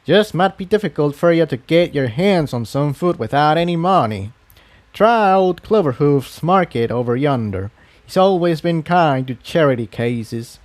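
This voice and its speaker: A man's voice: on the high side, lively intonation, moderate pace, no room sound, pitched above the performer's own voice, close to an everyday voice. A man voicing an elderly, plainspoken rustic pony with folksy country phrasing in a fantasy story. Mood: blunt, folksy.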